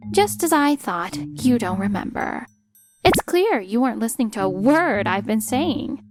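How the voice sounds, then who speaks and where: An actress playing a fed-up, sharp-tongued wife in a dramatized manga dub, sarcastic and pointed. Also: female voice, on the high side, big pitch swings, moderate pace. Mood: reproachful. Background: music.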